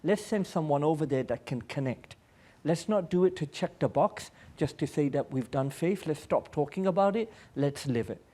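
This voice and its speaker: A man's voice: on the high side, expressive, moderate pace, dark tone. A Pakistani-born American man speaking as an aid worker at a public panel, telling personal anecdotes in an earnest, emphatic way.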